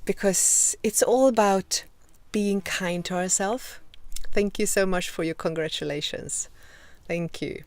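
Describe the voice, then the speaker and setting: Slow, soft-spoken Swedish woman. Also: medium pitch, no echo. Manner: gentle, encouraging, slow and reflective with many pauses. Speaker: host. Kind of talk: live video Q&A with viewers.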